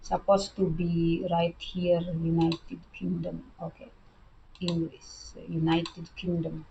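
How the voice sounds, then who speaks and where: A woman teaching a language lesson, speaking halting English and repeating words as she explains. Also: fairly low pitch, flat delivery, gravelly, dark tone, lo-fi sound, a little hiss or hum.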